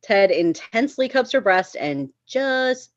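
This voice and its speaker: A woman's voice: a little high, sing-song, unhurried, no room sound, highs cut off. A woman reading stage directions as narrator at a screenplay table read.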